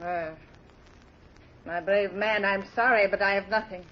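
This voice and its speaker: A woman's voice: medium pitch, steady tone, measured pace, natural voice. A woman acting a harsh, grasping, bullying tavern keeper with a scolding manner in an old radio drama. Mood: grudging, mercenary. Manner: scolding, sharp-tongued. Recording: dull old radio recording.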